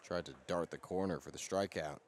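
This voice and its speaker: A man's voice: low voice, brisk. A man giving baseball color commentary as an analyst alongside a play-by-play announcer.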